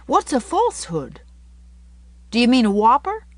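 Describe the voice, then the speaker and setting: Storybook little boy, played by a woman: higher pitched, lively swoops, frank and childish, mischievous yet eager to be good. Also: normal speed, no room sound. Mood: frank, puzzled. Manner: childish colloquial talk, dropped syllables.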